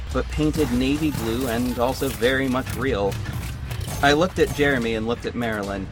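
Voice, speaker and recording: A man's voice: mid-range voice, lightly acted. A man playing an earnest young hero who narrates his own story in a conversational, scripted audio drama style. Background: music.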